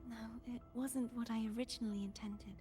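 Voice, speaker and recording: An actress playing a gentle, sorrowful young woman in a game, speaking earnestly in soft, breathy, measured tones. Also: a little high, fairly even intonation, natural voice. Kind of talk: dramatic game voice acting. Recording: music behind the voice.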